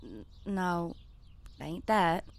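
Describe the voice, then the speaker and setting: A woman's voice: fairly low pitch, sing-song, extremely slow, full character voice. An actress playing a blunt, practical rural woman, in a plain-spoken radio drama style. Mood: frank. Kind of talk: naturalistic radio drama acting.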